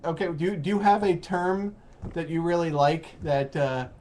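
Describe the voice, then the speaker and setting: A man with an American accent hosting a casual interview, explaining in a halting way and rephrasing as he goes. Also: a little high, a little mellow. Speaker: host of an English-learning call-in program. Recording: noticeable background noise.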